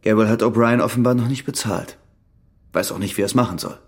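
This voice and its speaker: Worried husband, low husky voice, guarded, radio crime drama dialogue. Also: male voice, fairly quick. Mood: matter-of-fact.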